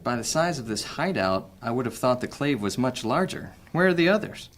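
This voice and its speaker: An actor playing a cunning, guarded male master thief in an audio drama, speaking in a measured, sly way. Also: medium pitch, big pitch swings, close to an everyday voice.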